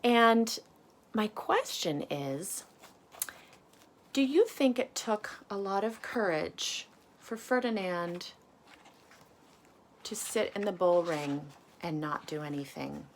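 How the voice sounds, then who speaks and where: A woman teacher reading a picture book aloud to children, with deliberate dramatic emphasis and questions for the listeners. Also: medium pitch, lively intonation, a little hiss or hum.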